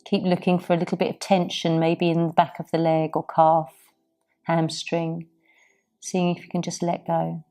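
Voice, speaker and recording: A woman teaching a yoga class, guiding the poses and breathing in a soft, soothing, unhurried way. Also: fairly low pitch, completely flat delivery. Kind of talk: guided yoga class instruction.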